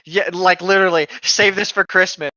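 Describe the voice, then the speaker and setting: A man's voice: sits high, steady tone, brisk, pushed and bright, no room sound. A man in casual, wisecracking banter as a podcast co-host, on a slightly dull microphone. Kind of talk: casual chat, joking banter.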